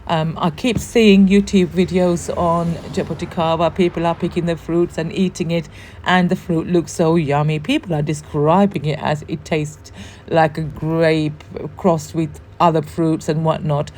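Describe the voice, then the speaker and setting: A woman with a hoarse, worn voice from the flu, chatting casually and warmly as host of a gardening vlog, with frequent ums. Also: low voice, little pitch movement, unhurried, slight background noise. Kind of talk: casual show-and-tell vlog.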